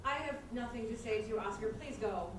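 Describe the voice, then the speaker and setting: A woman's voice: on the high side, a little fast, lightly acted. An actress playing an exasperated, theatrical woman scorning an old lover, indignant, in a comic stage reading. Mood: icy scorn. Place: indoor stage or hall. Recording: echoey hall, distant mic, clear noise.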